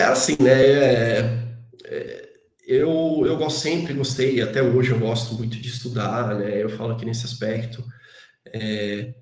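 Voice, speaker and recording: A talkative Brazilian man with a Brazilian Portuguese accent, chatting as an interview guest in a middle-pitched voice. He strings his thoughts along with tag questions and self-deprecating asides. The sound carries a roomy echo. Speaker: guest, database engineer. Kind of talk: casual interview chat.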